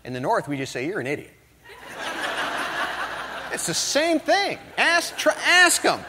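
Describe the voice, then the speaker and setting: A man's voice: high-pitched, measured pace, background noise. A middle-aged man from the northern United States giving a humorous motivational talk, telling stories with jokes. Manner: humorous, animated storytelling with jokes. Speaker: motivational speaker. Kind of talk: storytelling keynote talk. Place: large hall before an audience. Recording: hall reverb.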